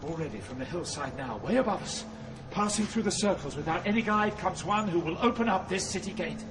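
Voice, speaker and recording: A wise, fatherly guide through hell. His voice is on the higher side, measured and instructive, set in an audio drama over heavy background sound. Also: lively intonation, noisy background. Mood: steady resolve.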